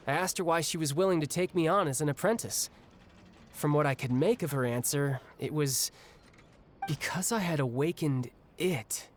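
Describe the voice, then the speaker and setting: An actor playing a young, restless, battle-hungry warrior in a video game, speaking in a breezy, reflective way. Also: male voice, on the high side, lightly acted. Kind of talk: video game cutscene acting. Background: game music.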